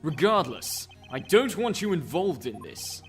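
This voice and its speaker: A man's voice: on the high side, big pitch swings, unhurried, soft background music. A man voicing a proud, aloof, irritable accused prosecutor in an amateur video game dub, formal and curt. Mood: cold, stiff.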